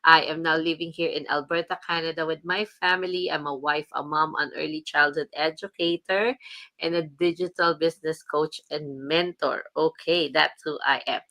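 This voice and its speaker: A woman's voice: low voice, fairly even intonation, moderate pace, sharp tone. A woman from the Philippines hosting a casual livestream as a business coach, chatty and upbeat, laughing often.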